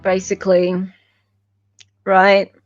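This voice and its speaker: A woman's voice: mid-range voice, monotone, takes it slowly, no echo, dull audio, soft background music. An Australian woman hosting a livestream with casual, unscripted commentary, often searching for her words. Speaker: livestream host.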